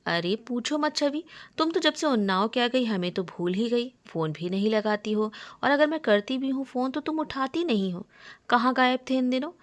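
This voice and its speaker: A woman's voice: fairly high pitch, expressive, moderate pace, voice pushed up, natural voice. A woman voicing a chatty young best friend who scolds playfully and pries in a romance story. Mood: mock annoyed.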